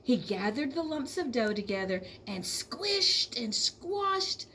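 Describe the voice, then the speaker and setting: A woman reads a picture book to young children in a fairly high voice. Her delivery is slow and highly animated, stretching key words for emphasis the way a schoolteacher does. There is faint noise behind her. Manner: expressive, addresses young listeners with questions and drawn-out emphasis. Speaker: elementary school teacher reading aloud. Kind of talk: children's storybook read-aloud.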